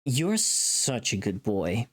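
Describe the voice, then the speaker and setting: Streamer doing a soothing caretaker voice, low and slow, tender, doting. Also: sing-song, slightly rough, heavily acted. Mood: tender, praising. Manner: slow, drawn-out tender delivery. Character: soothing, doting caretaker giving gentle praise.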